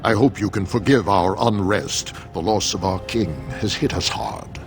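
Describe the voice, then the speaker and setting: An actor voicing a weary, exasperated dwarf steward with a formal, commanding manner in a fantasy game. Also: male voice, fairly low pitch, moderate pace, over music, lightly acted. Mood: weary, apologetic. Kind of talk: dramatic fantasy game acting.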